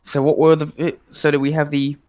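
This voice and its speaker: A man's voice: a little high, flat delivery, fairly quick, a little mellow. An Australian man giving live, chatty game commentary as a caster, upbeat and informative. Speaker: esports caster.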